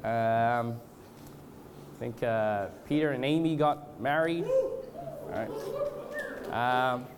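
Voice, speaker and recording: A man from Australia and Canada with a cold, speaking as a preacher in an informal, halting, hesitant way. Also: mid-range voice, wildly swooping pitch, heavy background noise. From born in Australia, moved to Canada. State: has a cold. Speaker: guest preacher at a church revival gathering. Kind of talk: informal sermon with congregational prayer.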